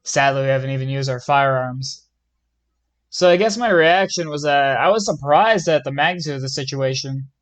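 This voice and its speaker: A man's voice: mid-range voice, normal speed, natural voice. A man playing a police lieutenant giving scripted interview answers in a plain, matter-of-fact way.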